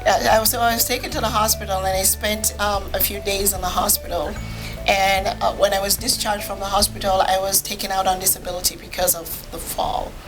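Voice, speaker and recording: A woman from Jamaica, a physician appearing as a podcast guest, telling her personal story in a measured, matter-of-fact way. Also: mid-range voice, flat delivery, over music, low-quality recording.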